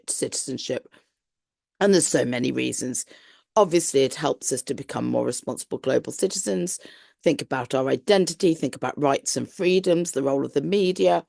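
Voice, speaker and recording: A woman tutor gives an online introduction to a course, her voice croaky as she recovers from flu, explaining things in a friendly, reassuring way. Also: low voice, darkish tone, dry recording, studio quality. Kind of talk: online lesson talk.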